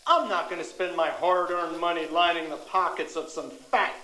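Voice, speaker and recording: A woman's voice: lowish voice, normal speed, sharp tone. An actress playing a defiant, distrustful female skeptic in an audio drama, ranting with open scorn. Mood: scornful, defiant. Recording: echoey room.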